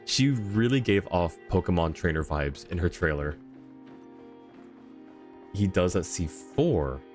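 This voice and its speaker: A man hosting a casual game livestream. His voice is quite deep, and he speaks conversationally, reading viewer comments aloud and replying. Music plays faintly behind him. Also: slow, a little mellow.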